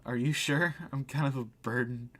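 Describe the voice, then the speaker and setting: Man reading a nervous, bright-voiced newcomer ghost. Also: mid-range voice, steady tone, moderate pace, close to an everyday voice. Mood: apologetic. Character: anxious, apologetic, curious newly dead cafe customer.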